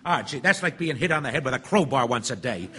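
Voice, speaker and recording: A man's voice: mid-range voice, lively intonation, fast, sharp tone, slightly rough. A man playing a brash, impatient, wisecracking juror and baseball fan in an audio stage drama. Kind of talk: stage radio drama acting.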